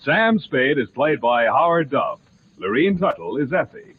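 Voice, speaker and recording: A man's voice: mid-range voice, big pitch swings, measured pace, breathy edge. A man reading scripted credits as a 1940s radio announcer. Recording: narrow old radio broadcast, music behind.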